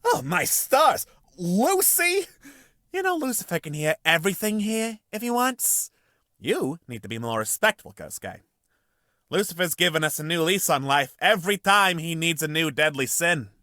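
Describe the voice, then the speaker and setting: Man acting bright, eager 1920s all-American guy. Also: a little high, very animated, moderate pace, dry recording, studio quality. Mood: shocked, reproving. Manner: earnest, exclamatory, old-fashioned slang. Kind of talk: visual novel character acting.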